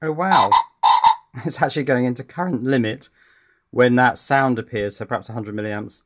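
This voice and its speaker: A man's voice: medium pitch, normal speed, heavy background noise. Dry-humoured man tinkering at a bench. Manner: dry, wry, thinks aloud with frequent "uh". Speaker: electronics hobbyist presenter. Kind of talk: casual tinkering commentary. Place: indoors at a workbench.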